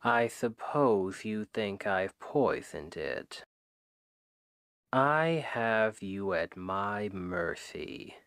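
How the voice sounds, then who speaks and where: A demon king , voiced by a man, speaks from within a long, cavernous reverb. His voice is gravelly and drags very slowly from word to word. He is a smooth-talking, self-justifying captor, condescending and coaxing, in a dramatic ASMR roleplay. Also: fairly low pitch, expressive, fairly bright tone, exaggerated voice. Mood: offended, exasperated. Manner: slow, deliberate, persuasive, condescending.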